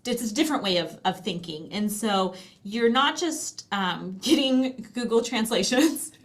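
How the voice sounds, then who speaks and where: American mom type, middle aged, casual explainer, chatty and upbeat delivery, medium pitch. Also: female voice, a bit bright. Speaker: language program presenter and guest. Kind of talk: casual explanatory product demonstration.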